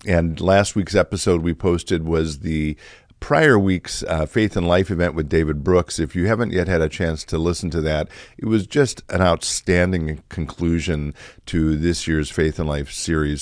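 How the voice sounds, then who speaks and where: A middle-aged male pastor from the Chicago area, slightly raspy from allergies, talking through a reflective podcast with plenty of fillers and restarts. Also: low voice, dry recording, studio quality. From Chicago area, USA. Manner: conversational, many fillers and restarts.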